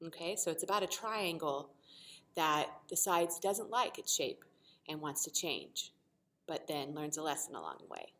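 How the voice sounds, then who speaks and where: A woman teacher reading a storybook expressively to children, pausing to explain simply and ask questions. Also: deep voice. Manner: animated, asks the listeners questions.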